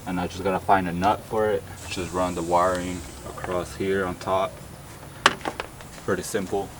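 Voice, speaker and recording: A young man with a deep voice in a casual vlog, talking plainly while working on a truck engine outdoors. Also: background noise. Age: young adult. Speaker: car vlogger. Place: in a pickup cab and outdoors at an engine bay.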